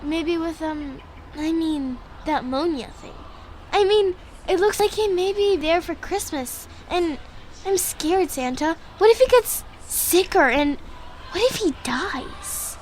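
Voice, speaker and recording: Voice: very high voice, slow pace, slight background noise. A performer playing an earnest, naive, talkative little boy in a family audio drama, eager and guileless. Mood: worried. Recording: prominent music behind the voice.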